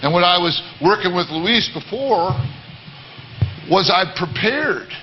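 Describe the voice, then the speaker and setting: A man with a baritone voice giving an earnest, repetitive sermon-style talk to a church congregation. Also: expressive, takes it slowly, dull audio, a little hiss or hum. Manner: earnest, repetitive, many restarts. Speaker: worship leader. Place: church service.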